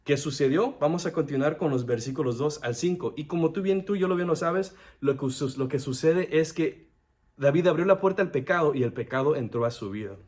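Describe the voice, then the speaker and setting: A man's voice: a little high, a little mellow. A young man giving an earnest devotional sermon, often stopping to restart his words. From United States. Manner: earnest, halting, frequent restarts. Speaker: devotional speaker.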